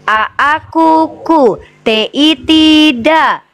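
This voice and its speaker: A woman hosting a live online religious debate, pressing her points with drawn-out emphasis and reading scripture aloud. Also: high voice, a bit bright. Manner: insistent, drawn-out emphatic delivery, addresses others as younger siblings. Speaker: debate host.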